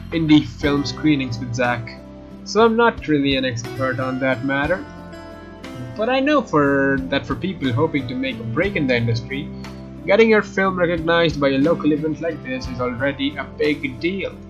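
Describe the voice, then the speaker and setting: A man reads visual novel narration aloud as a let's play host, dropping in casual comments. His voice is a little higher than average. The recording is slightly dull, with soft game music behind. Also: expressive, normal speed. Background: faint game music.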